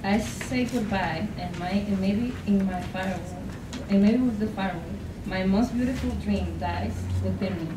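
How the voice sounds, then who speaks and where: A woman reading a poem at a podium in a college lecture room, earnest and halting, with restarts. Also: mid-range voice. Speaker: student contestant. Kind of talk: poetry reading, bilingual. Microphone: podium mic from a far camera. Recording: echoey room, far mic, clear hiss.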